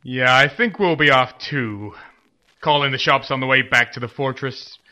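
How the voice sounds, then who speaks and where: A man's voice: medium pitch, moderate pace, pushed and bright. A man playing a pompous, earnest superhero commander in a comedy audio drama, with heroic formality that slips into friendly chat. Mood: chatty.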